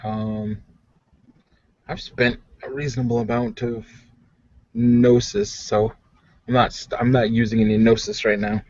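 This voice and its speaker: A man's voice: mid-range voice, level tone, measured pace, pauses often, a little mellow, no echo. A man bantering casually and wryly as a player in a tabletop roleplaying game over online voice chat. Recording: dull, dry lo-fi voice chat.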